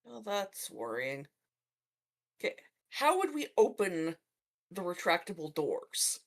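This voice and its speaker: A woman's voice: lowish voice, huge pitch swings, slow. A woman chatting casually as a player in a tabletop roleplaying game.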